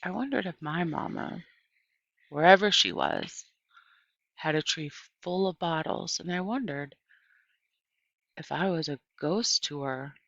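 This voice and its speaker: A woman narrates a first-person story at an unhurried pace. Her voice has noticeable fry at a medium pitch. It is a dry, slightly dull recording of read-aloud fiction. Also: a bit bright, dry recording. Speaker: audiobook reader.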